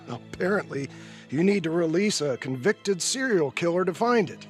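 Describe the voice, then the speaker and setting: Man playing a folksy, condescending judge, fairly high-voiced. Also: expressive, normal speed, faint music behind, natural voice. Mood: sardonic. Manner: folksy, wry, patronizing. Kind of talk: video game cinematic acting.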